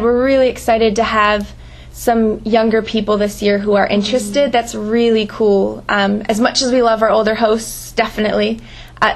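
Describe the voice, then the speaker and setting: A woman hosting an informal conference call, giving advice in an encouraging, enthusiastic way. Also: medium pitch. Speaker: host mentor on a volunteer conference call.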